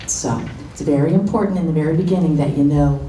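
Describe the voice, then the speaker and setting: A soft-spoken woman with a low voice talks informally to a roomful of listeners. She is recorded from a distance in an echoey meeting room, with steady noise underneath. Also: fast talker. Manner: soft-spoken, nervous at the start. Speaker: guest speaker. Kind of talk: informal presentation.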